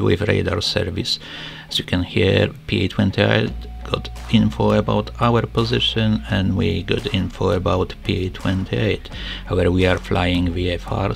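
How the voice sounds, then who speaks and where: Low, very creaky man narrating. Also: fairly bright tone. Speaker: student pilot vlogger narrating own flight. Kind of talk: explanatory voice-over narration.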